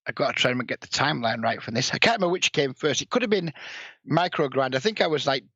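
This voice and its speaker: Man, northern English accent, rough raspy voice, blunt and sweary, muffled dry lo-fi sound. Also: mid-range voice, expressive, quick pace, fairly bright tone, no echo. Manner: sniffs and coughs. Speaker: poker player and coach, guest. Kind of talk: casual podcast interview.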